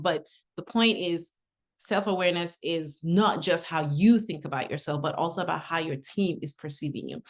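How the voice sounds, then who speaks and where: Middle-aged woman from Nigeria, low-ish voice, conversational webinar presenter. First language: English. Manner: conversational, uses personal anecdotes. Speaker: webinar speaker.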